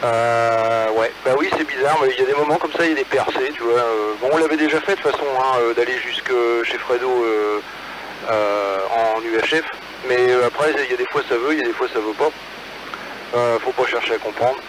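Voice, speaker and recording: Man, mid-pitched voice, amateur radio operator, colloquial hesitant talk, radio transmission from a car, noisy background. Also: steady tone, a little fast. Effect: two-way radio transmission. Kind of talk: casual radio chat between hams. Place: in a car, mobile. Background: radio and vehicle noise.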